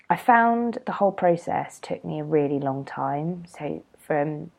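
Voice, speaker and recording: A woman's voice: sits low, creaky, darkish tone, lo-fi sound. A young British woman chatting casually and informally as a solo podcast host, rambling a little, nasal and congested with a cold. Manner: rambling, informal, self-deprecating.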